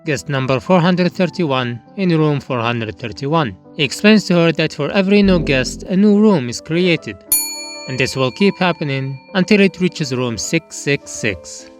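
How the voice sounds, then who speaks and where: A man's voice: fairly high pitch, lively intonation, measured pace, soft background music. A man narrating a scripted review with comic asides. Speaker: manga review YouTuber, narrator.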